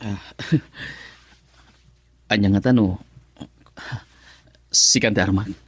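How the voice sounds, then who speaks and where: A man's voice: lowish voice, fairly even intonation, drawn-out words, slightly rough, no room sound, exaggerated voice. An actor playing a sheepish, lovelorn young man in a radio drama, hesitant, with an Ilocano Filipino accent. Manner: hesitant, chuckling between phrases.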